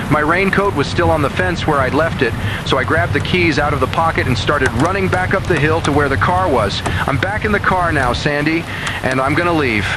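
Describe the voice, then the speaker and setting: Clear noise sits behind a fairly high male voice, a frightened field reporter played by an actor. He gives an anxious, urgent eyewitness report in a radio horror drama. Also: close to an everyday voice. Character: frightened male roving reporter fleeing a frenzied mob.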